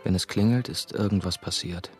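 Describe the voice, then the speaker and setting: An actor playing a brooding, haunted former novice, confiding his story in measured, terse radio drama narration. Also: low-pitched, a little mellow, faint music behind, natural voice. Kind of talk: first-person radio drama monologue.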